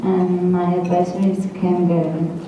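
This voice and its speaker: A woman from Colombia introducing her doctoral research in an earnest, measured way. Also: fairly low pitch, flat delivery, dark tone, highs cut off, slight background noise. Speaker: doctoral student. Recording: echoey room, distant mic.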